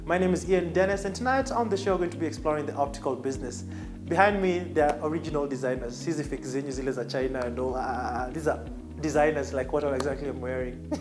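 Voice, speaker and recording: A Kenyan man hosting a business interview show, chatty and quick to laugh at his own jokes. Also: fairly high pitch. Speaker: show host. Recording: echoey room with music behind.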